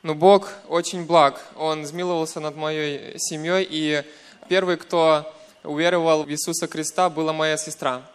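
A young man from western Ukraine with a Ukrainian accent giving a personal testimony in church, in short hesitant segments with pauses. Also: a little high, flat delivery, clean tone. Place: church, speaking to a congregation.

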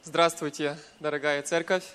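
A young man from western Ukraine with a Ukrainian accent giving a personal testimony in church, in short hesitant segments with pauses. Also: a little high, flat delivery, a bit bright, soft background music, some chatter behind. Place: church, speaking to a congregation.

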